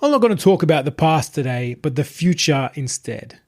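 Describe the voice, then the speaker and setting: A man voicing a smooth, possessed internet idol who preaches to followers with calm, husky, grandiose assurance in an audio short story. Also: on the high side, a little fast, voice pushed up. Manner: calm, composed, grandiose, soothing patter to followers.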